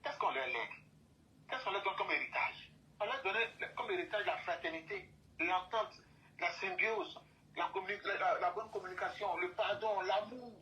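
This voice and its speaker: A man's voice: sits high, moderate pace, bright, forceful tone, a little hiss or hum, rough recording. A man from Côte d'Ivoire, speaking as a guest in an impassioned talk and repeating and restating his phrases for emphasis.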